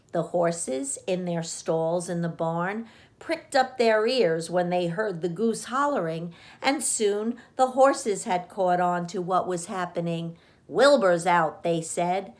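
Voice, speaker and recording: A woman narrating a children's storybook as a read-aloud reader, with expressive delivery. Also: lowish voice.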